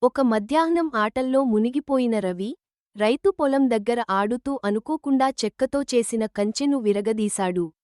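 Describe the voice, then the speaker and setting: Woman, children's story narrator, scripted reading, high pitch, very dry recording. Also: normal speed, darkish tone, no room sound.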